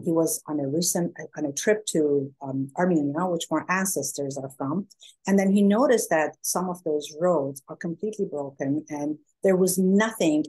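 A woman's voice: sits low, darkish tone, rough recording. A woman in her forties from Iran, a guest speaker explaining her business on a video call, earnest with many fillers. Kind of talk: presentation and interview answers. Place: home room.

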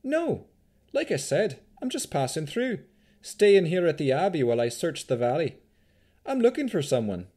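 A jaunty female adventurer from a fantasy tale, acted out by a man. She speaks in a fantasy accent with a lifted, lively pitch. Her manner is breezy, frank and self-assured. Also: normal speed, husky. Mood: unbothered. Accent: Vistani-style fantasy accent.

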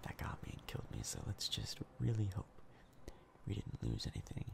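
A man giving hushed, casual ASMR game commentary in a breathy, gravelly whisper, close to the mic. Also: on the low side, big pitch swings. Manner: hushed, casual, unhurried rambling. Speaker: gaming video host.

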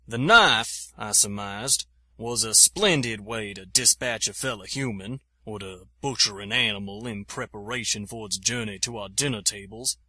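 Man acting 1880s army captain, mid-pitched, bright, precise, eloquent, formal audio-drama account. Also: no room sound. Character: articulate 1880s American army captain giving written account. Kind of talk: dramatized first-person period account.

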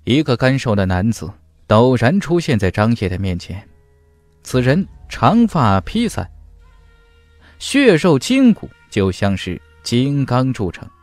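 Male storyteller for fantasy audiobook: dramatic, expressive delivery, close dry sound over a music bed. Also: mid-range voice, a little slow, no echo. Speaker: audiobook narrator. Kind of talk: dramatic scripted fantasy narration.

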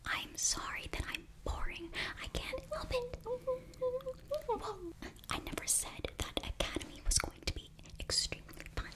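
A young woman whispering very close to the mic in an ASMR fantasy roleplay, halting, flustered and apologetic as a student sorceress. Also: very high-pitched. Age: young adult. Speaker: ASMR performer. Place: small indoor bookshelf set. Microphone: very close ear-to-ear microphone.

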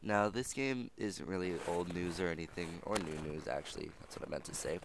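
Low-voiced young man, chatty gameplay host. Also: a little fast, gravelly. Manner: rambling, filler-heavy, chatty. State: sick, slightly raspy. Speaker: gaming channel host. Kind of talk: casual let's play commentary. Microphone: old, static-prone headset mic. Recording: noisy home mic with static.

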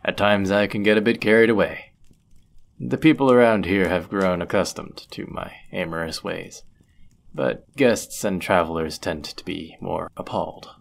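Man playing a low, gravelly, suave medieval innkeeper. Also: moderate pace. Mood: playful, proud. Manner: formal, ornate, suave, teasing phrasing. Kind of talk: scripted roleplay audio drama, addressing the listener.

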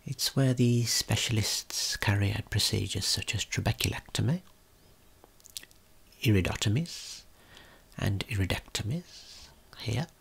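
A man narrates a guided tour in ASMR style. His voice is very breathy and whispered, with a creaky edge. He explains gently and takes his time with each phrase. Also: fairly low pitch, measured pace, pauses often.

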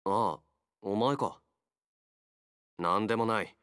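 An actor playing a wary, feral young man in a game, a gruff loner fighter with blunt, halting speech. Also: lowish voice, a bit bright, no room sound, clean studio sound. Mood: subdued, earnest. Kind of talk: Japanese game character voice acting.

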